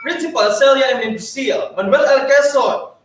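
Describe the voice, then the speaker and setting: Very high-voiced Filipino man co-hosting. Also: normal speed, very echoey, far from the mic. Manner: friendly, polite, code-switches between English and Tagalog. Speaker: co-host of a teacher training webinar. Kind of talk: bilingual webinar hosting, greetings.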